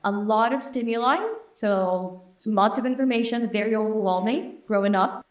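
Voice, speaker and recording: A woman raised in Argentina, a native Spanish speaker, talking as a guest in a casual interview, reflective and unhurried, thinking aloud. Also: mid-range voice. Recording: roomy echoey room.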